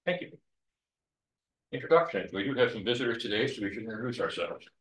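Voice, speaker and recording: A man chairing a city board meeting, easygoing and brisk, often repeating his words. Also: on the low side, animated. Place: council meeting room. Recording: echoey room, muffled sound.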